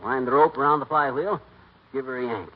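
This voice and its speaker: An actor playing a folksy, cocky, bumbling husband who tinkers, brash and slangy, in 1940s radio comedy. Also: male voice, medium pitch, fairly quick, narrow, tinny audio, close to an everyday voice. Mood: eager, boastful. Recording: very noisy, band-limited 1940s radio recording.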